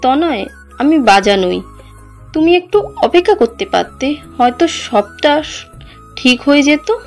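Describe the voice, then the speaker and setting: A woman's voice: high voice, lively intonation, a little slow, darkish tone, soft background music. A woman playing a betrayed, heartbroken but proud young wife in an audio drama, with an emotional, pleading delivery. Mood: bitter resignation. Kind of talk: dramatised audio story dialogue.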